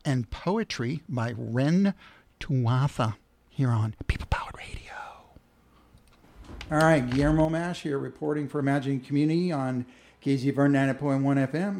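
A man's voice: medium pitch, creaky, a little mellow, no echo. A man hosting a community radio show, introducing guests with an upbeat announcer delivery. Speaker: radio host.

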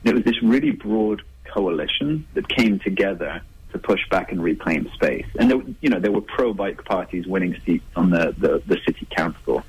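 A man's voice: low voice, animated, normal speed, slightly rough, low-quality recording. A man, an author, answering interview questions in a thoughtful, conversational way with frequent restarts. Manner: thoughtful, restarts, laughs lightly. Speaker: author guest.

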